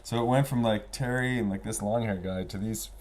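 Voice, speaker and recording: A man's voice: on the low side, fairly even intonation, fairly quick, a little mellow. A man around fifty speaking as a guest, telling stories casually in loose, slangy talk. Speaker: interview guest, snowboarding veteran. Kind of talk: casual storytelling while flipping through a book.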